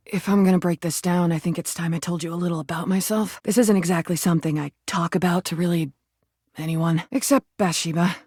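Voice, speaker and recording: A woman's voice: lowish voice, mellow, no echo, clean studio sound, lightly acted. A woman voicing an angry, wounded female rubber duck in a video game, blunt and defensive but easily made vulnerable. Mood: reflective, sincere. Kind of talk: video game character acting.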